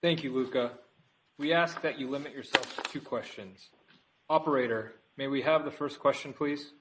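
Guy moderating a business call, formal, average pitch, pretty noisy audio. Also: normal speed. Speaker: investor relations moderator.

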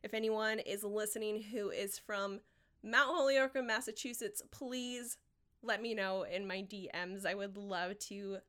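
A woman's voice: mid-range voice, level tone, measured pace, a bit bright. An American woman hosting a solo podcast, speaking straight to her listeners with enthusiasm and encouragement as she tells personal stories. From Washington state, United States. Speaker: podcast host and marketing coach.

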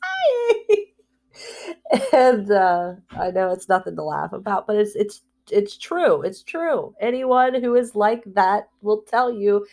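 A woman talking candidly in a personal spiritual vlog, rambling and heartfelt, with fillers, restarts and soft laughs between phrases. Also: mid-range voice, sing-song, slow pace. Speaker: spiritual vlogger.

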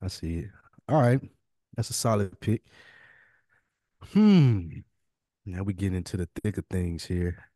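A man's voice: low-pitched, lively intonation, measured pace, long pauses, warm tone, dry recording, studio quality. A man co-hosting a podcast, talking informally as he thinks aloud. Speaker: podcast co-host. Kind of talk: casual chat.

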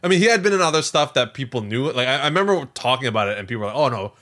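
A man with a bright, mid-pitched voice co-hosts a casual tech podcast. His delivery is very animated, swinging up and down as he chats and slips into imagined quotes. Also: rapid-fire. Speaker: podcast co-host.

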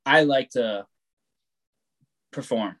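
A young man chatting casually, rambling and laid-back, who swears freely and talks loud. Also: medium pitch, drawn-out words. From born on the West Coast, raised on the East Coast of the US. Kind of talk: casual chat.

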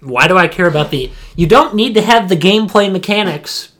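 A man's voice: high voice, expressive, fairly quick, sharp tone. A young adult man co-hosting a podcast, chatting casually and opinionatedly, repeating phrases emphatically for stress. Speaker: podcast co-host.

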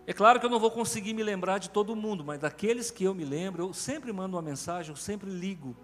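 A man's voice: high voice, fast talker, fairly bright tone. A man with a Brazilian Portuguese accent, preaching an emphatic sermon as pastor to his congregation in a large church. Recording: reverberant church hall with faint music.

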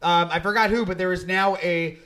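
A man's voice: sits high, fairly even intonation, normal speed, pushed and bright. A man hosting a casual comedy podcast, rambling through stories and laughing at his own jokes. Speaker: podcast host.

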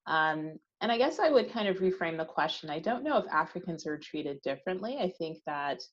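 A woman with an American accent, speaking as a discussion panelist in a measured, conversational way. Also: deep voice, big pitch swings. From United States. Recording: slightly dull lo-fi audio.

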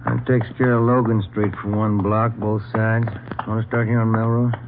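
Low, laconic American cop sidekick, played by a man. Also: level tone, normal speed, close to an everyday voice. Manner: casual, laconic, colloquial. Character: easygoing, folksy male police detective sidekick. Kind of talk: 1950s radio police drama. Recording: narrow lo-fi radio, clear noise.

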